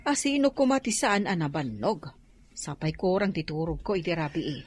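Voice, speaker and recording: A woman's voice: fairly low pitch, expressive, normal speed, natural voice. Woman acting a tired Ilocano working wife. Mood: weary. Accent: Ilocano, northern Philippines. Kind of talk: radio drama acting.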